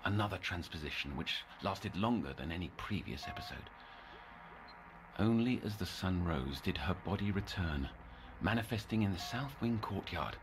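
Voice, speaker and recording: Deep-voiced, brooding, obsessive counsellor. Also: male voice, animated, background noise. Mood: grave, reflective. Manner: formal, measured diction, muttering into whispers. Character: brooding, obsessive male psychiatric counsellor, formal and scheming. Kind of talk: audio drama monologue and dialogue.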